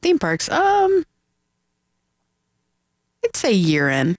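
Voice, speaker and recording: Interview guest, chatty, friendly, mid pitch, dry mic. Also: wildly swooping pitch, extremely slow, dry recording. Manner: chatty, friendly, laughs easily. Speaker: guest filmmaker. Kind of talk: casual interview chat.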